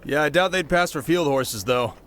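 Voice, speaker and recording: A man's voice: fairly high pitch, expressive, normal speed, a bit bright, pitched above the performer's own voice. A man voicing a cheeky, laid-back royal guard in disguise for a fantasy audio drama, wry and casual.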